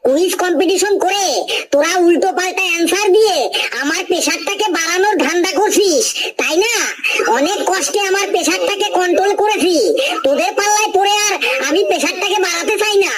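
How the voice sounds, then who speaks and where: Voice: extremely high pitch, brisk, a loud crowd. A performer voicing an irritable, pompous male schoolteacher who scolds in formal words, in exaggerated cartoon comedy style. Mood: exasperated.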